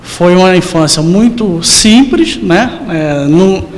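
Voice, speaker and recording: A man in his forties with a high-pitched voice. He answers as an interview guest, politely and simply, often hesitating and adding tag questions. The recording rings like a large hall. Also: lively intonation, slow pace. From rural interior of Rio de Janeiro state, Brazil. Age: 40s. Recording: reverberant hall.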